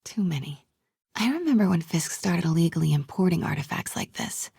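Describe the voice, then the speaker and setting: An actress playing a brave, quick-witted young woman reporter in a video game, with an American accent, a breathy, husky voice and a wry, conversational, often hushed delivery. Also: fairly low pitch, very animated, dry recording, exaggerated voice. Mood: wry, musing. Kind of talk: naturalistic video game voice acting.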